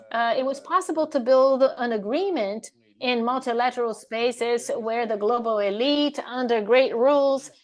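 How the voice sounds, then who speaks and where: A woman simultaneously interpreting a panel speaker into English, in halting, fragmentary phrases as she keeps pace with him. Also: a little high.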